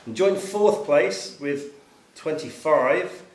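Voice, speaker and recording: A man's voice: on the high side, animated, takes it slowly. A man acting as quiz master, reading out questions and scores to a room. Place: club room at a pub-style quiz event. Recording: echoey room, distant mic, faint noise.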